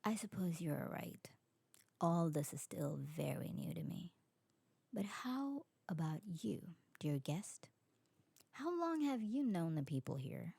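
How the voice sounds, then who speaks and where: A woman's voice: on the low side, big pitch swings, measured pace, vocal fry, warm tone, breathy edge, no echo, full character voice. A woman playing a curious, adventurous, newly turned vampire in an ASMR roleplay drama, speaking in a genteel, formal way. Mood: polite, curious. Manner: genteel, formal, lingering delivery.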